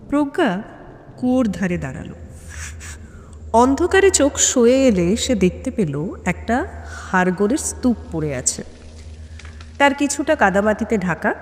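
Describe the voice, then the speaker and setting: Animated woman narrator in long reverb. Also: a little high. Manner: expressive, suspenseful. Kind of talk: dramatic scripted audio-story narration.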